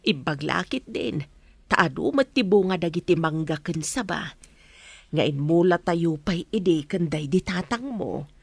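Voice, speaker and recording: A woman's voice: on the low side, lively intonation, measured pace, vocal fry, husky, no echo, exaggerated voice. A woman with an Ilocano accent playing a plain-spoken village woman who sells goods, in a Filipino comedy radio drama. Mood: earnest, motherly.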